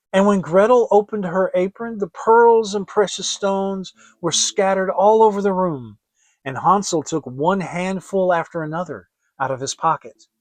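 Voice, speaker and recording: Male storyteller for dramatic fairy tales: high voice, unhurried, expressive, close dry sound. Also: dry recording, low-quality recording. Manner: theatrical, expressive. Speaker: storyteller, audiobook reader. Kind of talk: dramatic scripted fairy tale narration.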